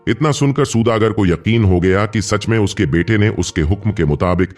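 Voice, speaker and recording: A man's voice: on the low side, moderate pace, darkish tone. A man narrating a scripted, dramatic moral tale as a storyteller. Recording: music bed behind the narration. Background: background music.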